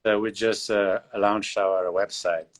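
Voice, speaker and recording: Man, forties, from Bulgaria, English, deep voice, halting with lots of fillers, video call. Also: steady tone. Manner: hesitant, many fillers and pauses. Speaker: guest leatherworker and shoemaker. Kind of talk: casual interview over video call.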